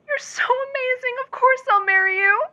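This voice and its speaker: Very high, gushing sweetheart. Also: female voice, moderate pace, bright, forceful tone, lo-fi sound, heavily acted. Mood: amazed, adoring. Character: gushing, adoring young sweetheart woman. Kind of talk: comic audio drama.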